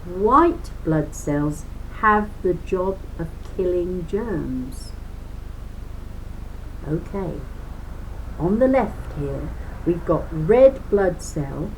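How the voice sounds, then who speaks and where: A woman's voice: fairly low pitch, lively intonation, takes it slowly, darkish tone, slight background noise, poor audio. A woman reading a children's book aloud as a lesson, explaining as she goes with chatty asides and stressing key words.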